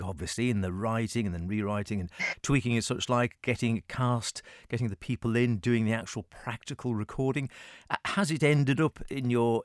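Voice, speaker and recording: A man's voice: medium pitch, dry recording, studio quality. A man hosting a radio arts interview, asking chatty, rambling questions in a conversational way.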